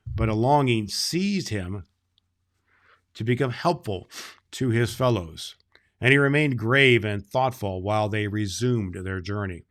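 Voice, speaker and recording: A man playing Santa Claus, reading a children's book aloud and talking to kids in a slow, gentle storytelling manner. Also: fairly low pitch.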